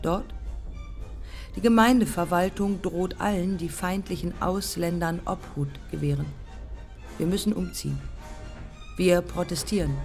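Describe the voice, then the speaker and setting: Radio drama: embittered woman flier, lowish voice, terse phrases with weighty pauses, faint music underneath. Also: a little mellow. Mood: bitter, matter-of-fact. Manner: terse, deliberate, pausing between short phrases. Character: proud, embittered pioneer woman aviator looking back. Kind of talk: German radio play monologue, clipped fragments.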